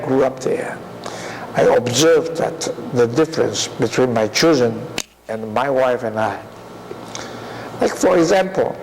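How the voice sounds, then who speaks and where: An older man lectures at a slow, deliberate pace and keeps tossing rhetorical questions to his class. His voice is gravelly with a creaky edge and sits a little high. A faint hiss runs under it. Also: low-quality recording. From Taiwan. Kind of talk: university lecture.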